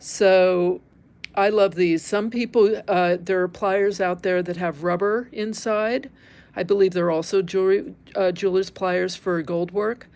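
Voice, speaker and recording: A woman's voice: mid-range voice, steady tone, measured pace, a little mellow. A middle-aged American woman teaching embroidery in an informal live demonstration, candid and conversational, thinking aloud as she works and chats with viewers. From Northern California, United States. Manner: candid, conversational, thinking aloud while working with her hands. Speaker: embroidery teacher, livestream host.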